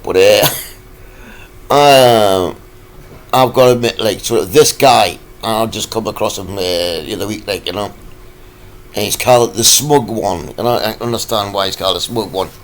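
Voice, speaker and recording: A man, croaky from a cold, ranting in a rambling, halting vlog full of fillers. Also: lowish voice, slight background noise. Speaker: vlogger.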